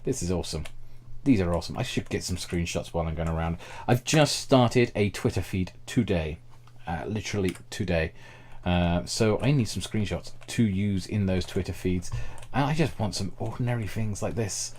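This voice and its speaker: A man with a fairly low voice gives casual, enthusiastic commentary while he plays. His voice is slightly hoarse, as if he is losing it. Faint game ambience sits underneath. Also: moderate pace, darkish tone, a little hiss or hum. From Britain. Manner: chatty and enthusiastic, thinks aloud. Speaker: streamer.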